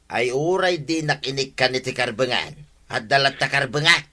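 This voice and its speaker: A man's voice: mid-range voice, normal speed, sharp tone. A man with an Ilocano accent playing an indignant, argumentative villager in a comedy radio drama. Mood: scolding.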